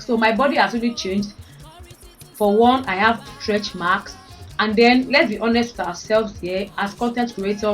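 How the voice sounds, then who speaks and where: A woman in her thirties with a Nigerian accent and a fairly deep voice, chatting frankly to her viewers. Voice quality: deeper voice since having babies. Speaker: vlogger. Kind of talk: casual chat to camera. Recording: voice a bit far from mic, faint music.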